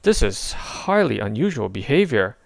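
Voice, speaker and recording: A man voicing a calm, stately thunder-god hero with a formal, unhurried manner in a storybook. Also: mid-range voice, creaky, no room sound, studio quality.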